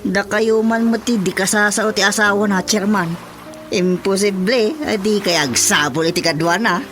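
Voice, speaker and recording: A man's voice: high voice, moderate pace, a bit bright. A grumbling husband acted by a man complains about his wife in radio comedy drama style. Music plays prominently behind his voice. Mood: defensive.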